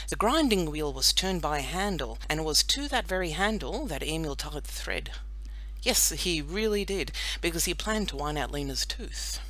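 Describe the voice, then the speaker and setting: A woman narrating a children's audiobook in an expressive storytelling style. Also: sits low, low-quality recording.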